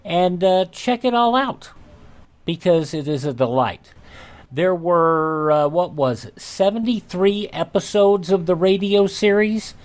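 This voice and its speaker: A man hosting a radio show, giving informal background commentary in a halting, chatty way. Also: sits high.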